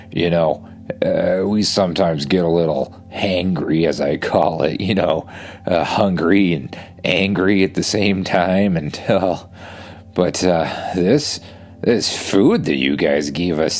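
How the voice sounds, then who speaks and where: A man's voice: low-pitched, a little mellow, exaggerated voice. A man voicing a friendly, hesitant talking dragon with a casual, apologetic manner in a children's bedtime story. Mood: sheepish.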